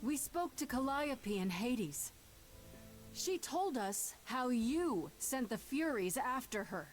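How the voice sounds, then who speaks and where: A woman's voice: sits high, big pitch swings, slow pace, soft background music, exaggerated voice. An actress playing a bold, defiant young woman who accuses gods, in a video game. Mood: firm accusation.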